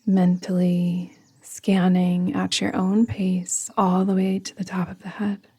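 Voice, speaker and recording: A woman guiding a yoga nidra meditation in a soothing, gentle, deliberate manner, often trailing off into a whisper. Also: lowish voice, completely flat delivery, breathy edge. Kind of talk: guided meditation narration.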